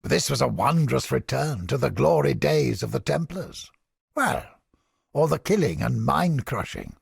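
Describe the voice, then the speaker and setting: Gravelly, theatrical cynic inquisitor. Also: male voice, medium pitch, animated, no echo, clean studio sound, heavily acted. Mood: relishing, nostalgic. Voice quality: gravelly, husky, breathy edge. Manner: theatrical, deliberate, sardonic, formal archaic diction. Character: cynical, theatrical male inquisitor scholar, sardonic and smugly godless. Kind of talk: theatrical video game character acting.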